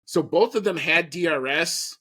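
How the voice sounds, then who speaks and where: A man with a fairly high-pitched voice hosts a sports podcast. He recaps the action in a casual, animated way, putting emphasis on his opinions. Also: moderate pace, breathy edge. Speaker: podcast host.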